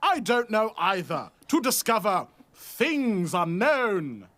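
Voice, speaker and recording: A man's voice: sits high, very animated, slow pace, a bit bright, dry recording, full character voice. A man playing a dramatic, teasing narrator of a radio soap serial. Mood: grandly teasing. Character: theatrical announcer narrator of a radio soap opera.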